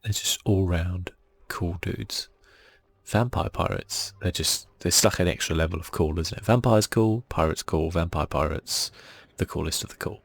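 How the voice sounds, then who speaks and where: Deep, creaky, husky man doing ASMR. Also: normal speed. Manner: soft-spoken, casual and hesitant. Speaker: gaming channel host. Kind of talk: ASMR, relaxed game playthrough commentary.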